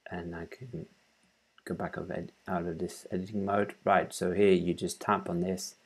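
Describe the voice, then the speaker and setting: A man in his thirties giving an informal step-by-step software tutorial, haltingly, thinking aloud as he works. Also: deep voice, flat delivery, breathy edge. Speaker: tutorial presenter. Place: small room at home, indoors.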